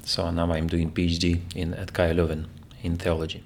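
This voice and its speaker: A very low, gravelly male voice with a lot of fry. He is a young man from Ukraine who answers interview questions slowly, stopping and restarting often. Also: completely flat delivery, a little mellow, a little hiss or hum. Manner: hesitant, many fillers and restarts. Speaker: theology PhD student interviewee.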